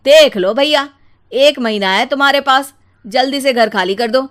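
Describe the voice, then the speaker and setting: A woman's voice: sits high, normal speed, a bit bright, voice pushed up. A woman voicing a callous, money-minded sister-in-law in a cartoon story, scornful and demanding.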